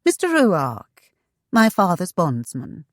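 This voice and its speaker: A woman's voice: on the low side, huge pitch swings, slow, no echo, studio quality, heavily acted. A woman voicing a proud, gracious young society widow in a storybook, polite and airy. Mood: dismissive.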